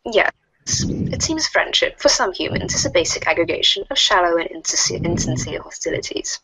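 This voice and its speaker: A woman playing a formal, stiffly scheming female alien troll in a comic readers theater, speaking in wordy, deliberate sentences. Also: on the low side, animated, pushed and bright, slight background noise, poor audio. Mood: formal, explaining. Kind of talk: readers theater of web comic chat logs.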